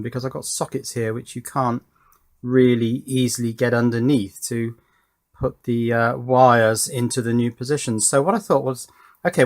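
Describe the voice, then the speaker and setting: A man presents a hands-on technical demonstration in a casual way. His voice sits at an average pitch. He thinks aloud as he works, often talking to himself as much as to the viewer. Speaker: electronics hobbyist presenter.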